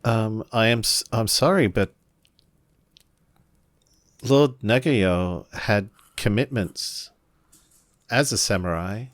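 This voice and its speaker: A man voicing an awkward, apologetic samurai retainer in a tabletop game, halting and courteous. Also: fairly low pitch, dry recording, clean studio sound. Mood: uneasy, polite. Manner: hesitant, halting.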